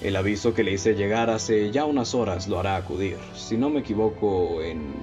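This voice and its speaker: A man with a Mexican accent playing a composed, analytical detective in a radio play, speaking formally and explaining with measured confidence. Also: lowish voice, close to an everyday voice. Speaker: amateur radio drama actor. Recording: dull lo-fi audio with music bed.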